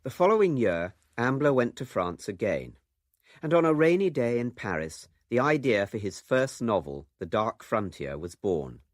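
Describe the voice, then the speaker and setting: A man's voice: medium pitch, sing-song, normal speed, dry recording, clean studio sound. A man with a British accent reading scripted narration for a radio documentary.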